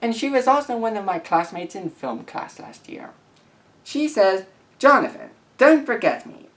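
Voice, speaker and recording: Young man with swooping, lively intonation. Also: high voice, moderate pace. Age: late teens. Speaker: video creator. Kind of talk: casual vlog, reading messages aloud.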